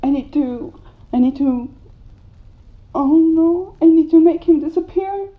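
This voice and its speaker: An unstable, desperate mother in a cinematic audio drama. Her high voice pleads slowly and haltingly, close to tears, in a muffled recording. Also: echoey room. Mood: horrified, tearful.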